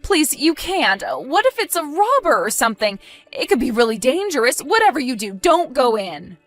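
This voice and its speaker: A woman's voice: sits high, very animated, moderate pace, soft background music. A woman playing a desperate, defensive cheating wife in an acted audio drama, pleading and evasive. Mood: urgent, worried. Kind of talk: acted audio story dialogue.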